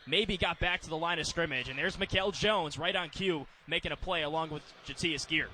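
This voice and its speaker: Bright-voiced American man calling football play-by-play. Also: on the high side, a little fast. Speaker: radio play-by-play announcer.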